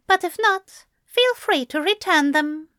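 A prim and playful male angel from an audio story, acted out by a woman. The voice sits very high, with a coy, genteel lilt. He is affectionate and teasing, taking his time over his words. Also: expressive, a little slow, bright, forceful tone, no room sound, studio quality, heavily acted.

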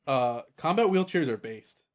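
A man's voice: medium pitch, animated, moderate pace. A man co-hosting a podcast in casual, joking, slangy chat. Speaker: podcast co-host.

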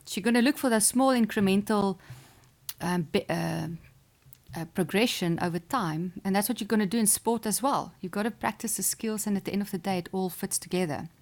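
A woman speaks at an ordinary mid pitch. Her is Afrikaans. She answers questions earnestly and encouragingly, with small restarts along the way. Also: fairly quick, a little mellow. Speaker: chess player and sports psychologist. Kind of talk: interview answers.

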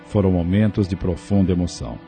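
A man narrates a radio drama in a measured, solemn way. His voice is low and mellow, with soft music underneath. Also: lively intonation.

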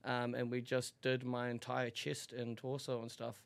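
A man's voice: medium pitch, monotone, moderate pace, creaky. A man from Auckland with a New Zealand accent, telling candid personal stories as a podcast guest in a giggly, rambling, slangy way. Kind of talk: casual, candid personal storytelling.

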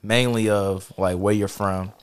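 A man's voice: fairly low pitch, steady tone, unhurried, clear, resonant tone. A man hosting a podcast in a loose, casual way, full of fillers and affirmations. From Charlotte, North Carolina. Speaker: podcast host. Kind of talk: casual conversation.

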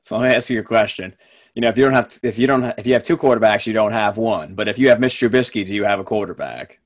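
A young man hosting a casual sports podcast, relaxed and quick to laugh, with plenty of fillers. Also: fairly low pitch, no echo, clean studio sound. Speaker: podcast host.

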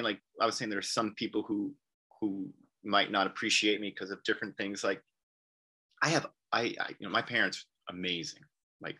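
A man with a low, forceful voice talks as an interview guest. He rambles through casual conversation, circling back on his points. He often breaks into short quoted voices of other people. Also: slightly rough. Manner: rambling, repeats "you know what I mean".

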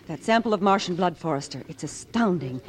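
An actress playing a keen laboratory scientist in a 1950s radio drama. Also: female voice, lowish voice, animated, a little fast, natural voice. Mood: astonished. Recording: faintly noisy 1950s radio broadcast.

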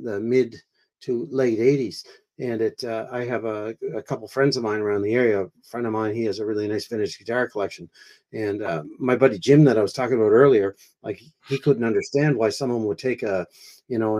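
A man's voice: mid-range voice, darkish tone. A man talking as a guest in a casual chat, rambling with lots of fillers and eager agreement.

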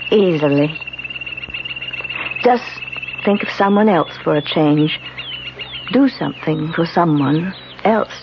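An actress playing a gentle, sad, mysterious woman who guards an enchanted garden, serene and patient, in a 1970s radio drama. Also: sits low, slow, long pauses. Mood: calm instruction. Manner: serene, patient, softly admonishing. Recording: dull, noisy 1970s radio recording.

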